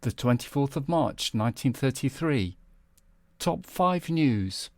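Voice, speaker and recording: A man reading scripted, documentary-style news headlines in a measured, formal manner. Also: medium pitch, lively intonation, no echo. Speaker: narrator presenting historical news headlines. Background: background music.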